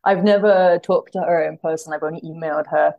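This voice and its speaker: A woman's voice: deep voice, fairly even intonation, brisk, dull audio. A woman speaking as a guest translator in casual podcast chat, thoughtfully telling anecdotes and often circling back to rephrase.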